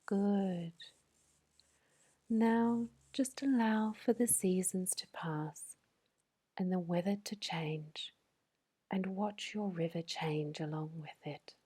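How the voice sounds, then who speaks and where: Woman, breathy whisper, sleep meditation guide, very slow, low and mellow delivery. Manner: slow, soothing, gentle.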